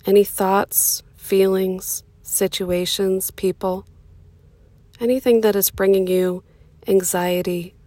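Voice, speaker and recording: A woman leading a guided meditation in a soothing, unhurried way, with pauses between short phrases and a soft voice that turns breathy at times. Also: medium pitch, little pitch movement, dry recording.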